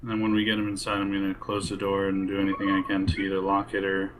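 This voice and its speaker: A man's voice: low-pitched, completely flat delivery, fast, a little hiss or hum, poor audio. A man describing his actions as a player in a role-play game over online voice chat.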